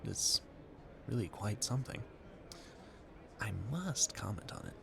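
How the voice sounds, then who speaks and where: Polite, awkward gentleman ball guest for audio roleplay, played by a man; deepish creaky voice, effusive, stammering, rambling. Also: sing-song, measured pace, background noise, heavily acted. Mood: charmed, admiring. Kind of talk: audio roleplay addressed to the listener.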